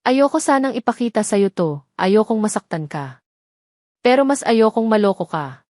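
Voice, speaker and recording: A woman's voice: fairly high pitch, animated, moderate pace, darkish tone, dry recording, clean studio sound, close to an everyday voice. A woman voicing a scheming younger sister who pleads with feigned pity in an audio romance drama. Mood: feigned concern.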